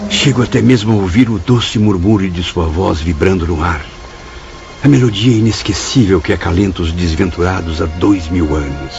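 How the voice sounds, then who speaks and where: A man's voice: sits low, moderate pace, gravelly, darkish tone. An actor playing a solemn, humble, repentant male spirit in a radio drama, with a Brazilian accent. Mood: solemn remorse. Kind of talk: Portuguese-language radio drama acting and narration. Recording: muffled audio under prominent music.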